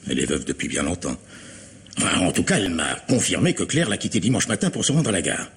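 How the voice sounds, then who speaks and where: An actor playing an anxious, defensive middle-aged factory owner in a French radio mystery, speaking formally with agitated hesitation in a husky voice. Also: male voice, low voice, lively intonation, fast talker, full character voice. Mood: hesitant, explaining.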